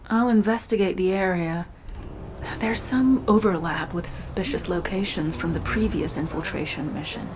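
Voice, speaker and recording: An actress playing a driven, guarded cyborg commander in a video game, with a clipped, businesslike manner. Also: female voice, lowish voice, moderate pace, far from the mic, noisy background, lightly acted. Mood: brisk, resolute. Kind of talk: scripted game dialogue.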